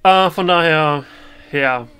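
Clear-voiced, higher-pitched man rambling casually. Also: slow pace, sharp tone. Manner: rambling, hesitant, thinking aloud. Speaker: let's player, role-play gaming channel host. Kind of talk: casual let's play commentary. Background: game music.

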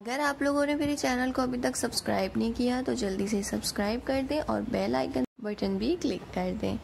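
A woman's voice: a little high, brisk, gravelly, a little mellow, a little hiss or hum. A woman with a Pakistani accent giving a chatty, informal recipe voice-over as a home cook.

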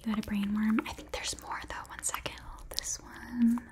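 A woman performing an ASMR roleplay in a soft, gentle whisper, often repeating her words. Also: a little high, flat delivery, moderate pace.

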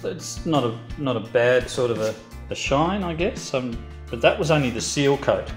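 A man's voice: mid-range voice, lively intonation, normal speed, soft background music. An older Australian man giving a chatty, folksy vlog tour of his sailboat cabin, recorded on an action camera. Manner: chatty, folksy, thinking aloud. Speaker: sailing vlogger. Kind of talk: casual vlog narration.

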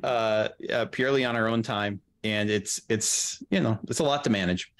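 A man's voice: fairly low pitch, normal speed. A middle-aged man talking casually as an interview guest over a video call. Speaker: guest, audio drama creator. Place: indoor room on a video call.

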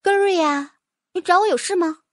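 A woman voicing a clever young girl heroine who explains things calmly. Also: very high voice, fairly quick, no room sound, studio quality, voice pushed up. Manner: calm, explaining.

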